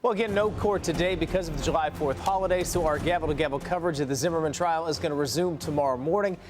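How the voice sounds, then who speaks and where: A man's voice: fairly high pitch, fast talker. An American man anchoring TV morning news in a scripted news-reading style.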